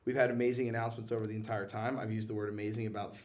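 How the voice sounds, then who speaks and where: A man's voice: fairly low pitch, level tone, very fast, darkish tone. A man speaking as an interview guest in a casual, enthusiastic, slangy and joking way. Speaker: guest, comic book and animation producer. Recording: voice somewhat far from the mic.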